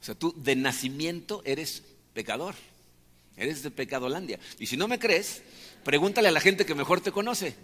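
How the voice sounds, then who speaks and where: Male preacher, Mexican Spanish accent, casual sermon style with rhetorical questions, slightly higher voice, long hall echo. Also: animated, moderate pace, fairly bright tone. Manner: chatty, asks rhetorical questions. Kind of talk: conversational sermon.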